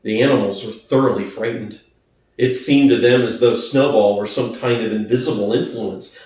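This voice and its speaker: A man's voice: medium pitch, a little mellow. A man reading a classic novel aloud as a dramatic scripted narration. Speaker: audiobook narrator. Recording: very echoey room, distant from the mic.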